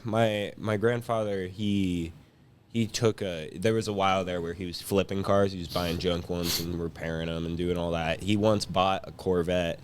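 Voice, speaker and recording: A man's voice: deep voice, steady tone, normal speed, slight background noise. A young man in his late teens chatting casually as an enthusiastic guest. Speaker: guest, high school senior. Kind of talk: casual chat.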